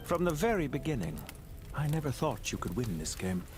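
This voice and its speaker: An actor playing a proud, composed, calculating magnate in a video game, with a dignified, deliberate and formal delivery. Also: male voice, medium pitch, sing-song, natural voice. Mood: composed, pointed. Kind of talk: scripted video game voice acting. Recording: music under the voice.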